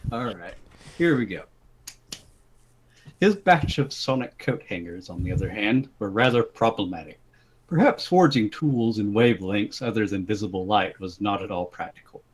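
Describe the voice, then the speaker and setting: A man's voice: fairly low pitch, expressive, moderate pace, breathy edge. A man doing a dramatic reading of a comic story in a casual voice chat.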